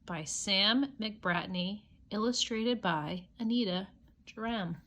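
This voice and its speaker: Slow-paced woman reading to young children. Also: medium pitch, a bit bright. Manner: simple explanatory asides, as to young children. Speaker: storybook reader. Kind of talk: children's picture book read-aloud with asides.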